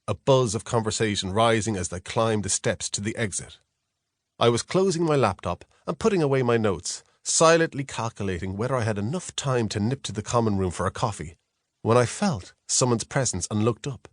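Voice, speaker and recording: A man reads a first-person novel in a measured, reflective storytelling manner. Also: fairly low pitch, expressive, no echo, studio quality. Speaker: audiobook narrator. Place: close, dry studio.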